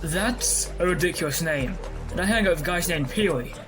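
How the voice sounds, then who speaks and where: An actor playing an unimpressed adventurer and fighter in a comic dub, with a dry, offhand delivery. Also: male voice, high voice, normal speed, close to an everyday voice. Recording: music behind the voice.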